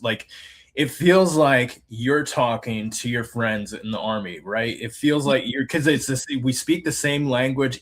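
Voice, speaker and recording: A man in his forties hosting an interview, talking loosely and rambling with many fillers. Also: mid-range voice. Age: 40s. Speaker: host, Army veteran. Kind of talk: casual interview chat.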